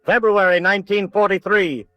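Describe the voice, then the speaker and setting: Male actor, high pitch, terse declamatory delivery, old radio bulletin style, music underneath. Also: fairly even intonation, normal speed, highs cut off, close to an everyday voice. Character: clipped male announcer calling out war statistics.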